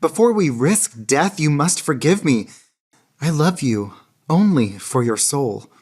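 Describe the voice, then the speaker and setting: An actress in a radio play as an ardent, earnest lover with a husky voice and a fervent, poetic delivery. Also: female voice, deep voice, expressive, a little slow, a little mellow, exaggerated voice. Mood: tender, pleading. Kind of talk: radio theater acting. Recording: music under the dialogue.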